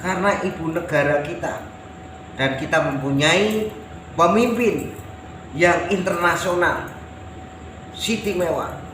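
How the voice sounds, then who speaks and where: High-voiced Javanese man, echoey noisy recording. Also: slow pace, pauses often. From Java, Indonesia. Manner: oratorical, emphatic, repetitive phrasing. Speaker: self-styled folk personality addressing viewers. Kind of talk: patriotic monologue to camera. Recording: roomy echo with clear background noise.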